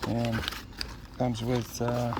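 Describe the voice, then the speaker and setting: Male unboxer, casual and halting, creaky mid-pitched voice, clear noise behind. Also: monotone. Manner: unscripted, halting, thinking aloud. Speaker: product unboxer.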